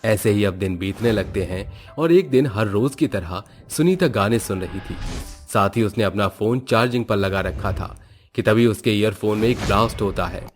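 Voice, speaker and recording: Low-pitched man narrating a story over music. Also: moderate pace. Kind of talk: scripted moral-story narration. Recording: background music under the voice.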